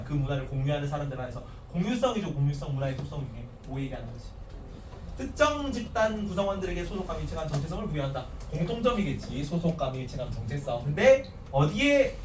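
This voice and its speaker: A man teaching a social studies class in casual lecture style, with a softened Gyeongsang accent and frequent asides to students. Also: fairly high pitch. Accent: standardized Gyeongsang Korean. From Gyeongsang region. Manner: animated, talks to students casually, restarts and asides. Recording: echoey classroom, distant mic, faint hiss.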